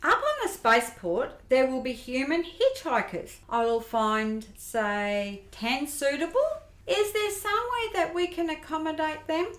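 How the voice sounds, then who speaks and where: A woman voicing a scheming, excitable squirrel grifter in an amateur radio play. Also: high-pitched, unhurried. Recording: roomy echo.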